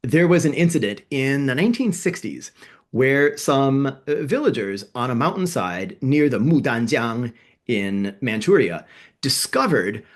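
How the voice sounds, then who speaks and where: A man co-hosting a history podcast, explaining events in a casual, thinking-aloud way with fillers and self-corrections. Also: medium pitch. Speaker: podcast co-host.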